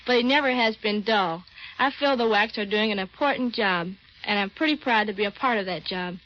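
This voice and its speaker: A woman's voice: mid-range voice, a little fast. An American woman, an army sergeant, being interviewed on a 1940s radio broadcast. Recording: dull vintage 1940s radio recording.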